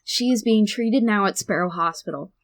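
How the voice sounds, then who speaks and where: A woman playing a TV news anchor, reading the news briskly. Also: medium pitch, lightly acted.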